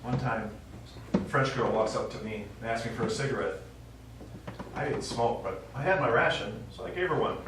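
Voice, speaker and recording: A man's voice: medium pitch, moderate pace, lightly acted. Man playing an old widower father, echoey stage. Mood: nostalgic. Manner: wry, rambling, reminiscing. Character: elderly Italian-American widower father, war veteran, stubborn reminiscing storyteller. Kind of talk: stage play acting. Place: small theatre stage, recorded from the audience. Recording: echoey small theatre, distant mic, faint noise.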